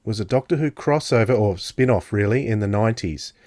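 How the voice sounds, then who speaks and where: A man's voice: lowish voice, a little fast, a little mellow, no room sound. Dry-recorded male podcast interviewer. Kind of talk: conversational interview questioning.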